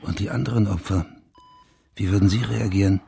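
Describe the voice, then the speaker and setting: A senior police official in a radio crime drama, low and very husky with a gravelly edge. He is sober and wry, asking his questions in a measured, probing way. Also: steady tone, dark tone, exaggerated voice. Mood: pensive, probing.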